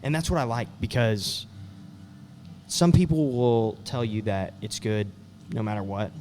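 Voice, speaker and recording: A man's voice: mid-range voice, measured pace, a little mellow, a little hiss or hum. Easygoing young man. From West Texas. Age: young adult. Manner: easygoing, with frequent "uh" fillers. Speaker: singer-songwriter guest. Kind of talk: casual interview answers.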